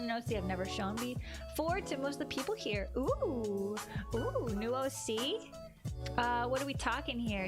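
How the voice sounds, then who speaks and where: Bubbly high-voiced young woman streamer. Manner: bubbly, giggly, playful sound effects. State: losing her voice. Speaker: art streamer. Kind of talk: casual chat reading viewer messages. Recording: music playing underneath. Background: background music.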